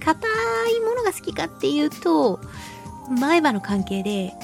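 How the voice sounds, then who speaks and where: A woman hosting a casual solo radio talk show, reading listener mail in a playful, giggly, cutesy way with a husky, breathy voice. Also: high voice, sing-song, a little slow, faint music behind. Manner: playful, giggly, slips into cutesy exclamations and quirky old-man phrasing. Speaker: radio show host, voice actress.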